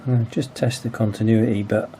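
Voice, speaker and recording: A man's voice: lowish voice, little pitch movement, normal speed, mellow. Man, noisy casual workbench narration. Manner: hesitant, thinking aloud. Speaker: video host, radio restoration hobbyist.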